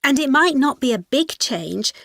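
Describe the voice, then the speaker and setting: A woman's voice: high voice, sing-song, moderate pace, creaky, a bit bright, no echo, heavily acted. A woman playing a female university student in a scripted educational dialogue. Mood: studious, matter-of-fact. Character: female university student discussing a joint presentation.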